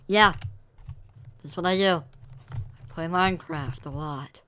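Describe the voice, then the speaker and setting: A man's voice: on the high side, slow pace, pushed and bright, smooth. A young man streaming a game, chatting casually and rambling to his viewers between reactions. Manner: rambling, self-correcting, talks to chat between game reactions. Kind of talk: casual live-stream chatter while gaming.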